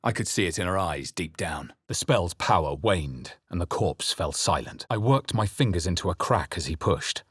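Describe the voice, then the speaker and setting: A male actor playing a grave, brooding adventurer in a fantasy video game, with husky, measured, dramatic delivery. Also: sits low, lively intonation, a bit bright, no room sound, studio quality, exaggerated voice. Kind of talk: scripted fantasy game narration, character lines and spell incantations.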